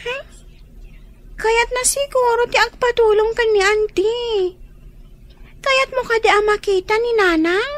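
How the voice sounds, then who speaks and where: An inquisitive little child in a radio drama. The voice is tiny and extremely high, full of earnest questions. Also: female voice, measured pace, long pauses, a bit bright.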